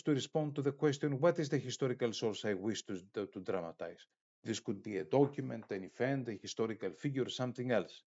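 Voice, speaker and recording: A middle-aged man giving an instructional demonstration as an online course lecturer, speaking in a halting, deliberate way with frequent hesitations. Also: mid-range voice, darkish tone. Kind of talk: instructional screen demonstration, unscripted. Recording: dull, muffled lo-fi sound.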